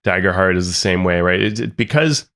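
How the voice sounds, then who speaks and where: A man's voice: low-pitched, animated, a little fast, a bit bright. A man co-hosting a podcast in casual, upbeat chat, his voice thick and congested from a cold. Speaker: podcast co-host.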